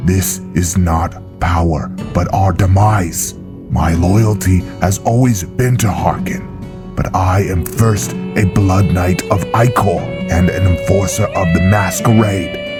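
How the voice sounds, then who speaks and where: Man acting a slow, deep, brooding vampire knight. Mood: bitter, troubled. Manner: slow, solemn, formal. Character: brooding male vampire blood knight, grim and resolute. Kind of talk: scripted in-character monologue. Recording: loud guitar music behind.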